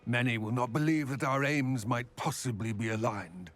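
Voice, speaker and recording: A man playing an earnest, weary older goblin ally in a game, speaking in a husky, measured and formal way. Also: mid-range voice, fairly bright tone, natural voice. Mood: impressed, grateful. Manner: measured, formal, grave phrasing. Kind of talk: scripted game dialogue.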